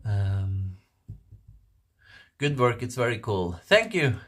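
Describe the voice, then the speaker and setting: Slow-talking Norwegian man in his forties. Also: fairly low pitch, very animated, a bit bright. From Norway. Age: 40s. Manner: rambling, laughs often, many restarts. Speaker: livestream host. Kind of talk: casual livestream chat.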